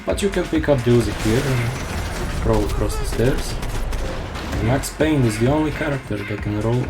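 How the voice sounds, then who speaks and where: A man's voice: medium pitch, normal speed, over music. Man explaining his speedrun. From Croatia. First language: Croatian. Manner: explaining step by step, casual, self-deprecating. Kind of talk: live gameplay commentary. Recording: game sounds and gunfire behind.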